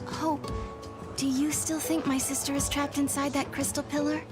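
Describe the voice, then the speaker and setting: An actress playing a gentle, earnest young traveler in a video game, soft-spoken and questioning. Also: female voice, high-pitched, level tone, normal speed, lightly acted. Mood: curious, concerned. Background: game music.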